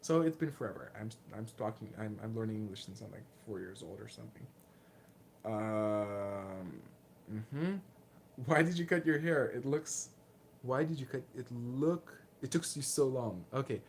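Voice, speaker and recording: A man in his late twenties with a Lithuanian accent, casually answering viewer questions in a candid, self-deprecating, halting way. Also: lowish voice, lively intonation, creaky, a little hiss or hum. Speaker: martial arts vlogger and fighter.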